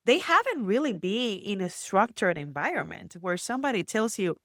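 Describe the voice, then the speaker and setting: A woman from Puerto Rico with a Puerto Rican accent, a guest entrepreneur telling her story in a chatty, animated way with many fillers. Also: mid-range voice, moderate pace, dry recording, clean studio sound. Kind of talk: casual interview chat.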